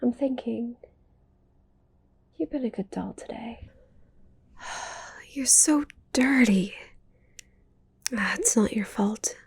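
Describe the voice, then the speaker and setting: A woman voicing a giant possessive witch girlfriend in an intimate ASMR roleplay, whispery and breathy, cooing and teasing with a menacing edge. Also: fairly high pitch, slow, pauses often, darkish tone, full character voice. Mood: doting, teasing.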